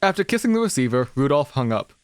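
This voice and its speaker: Streamer narrating a visual novel, plain even read, dry mic. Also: male voice, medium pitch, fast talker, clean tone, no room sound, faint music behind. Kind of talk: scripted narration.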